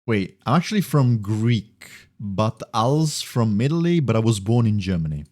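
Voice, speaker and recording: A man's voice: lowish voice, big pitch swings, moderate pace, a little mellow, no room sound, studio quality. A man chatting casually on a game livestream, thinking aloud in a rambling, repetitive way. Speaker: game streamer. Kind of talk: casual livestream chat while gaming.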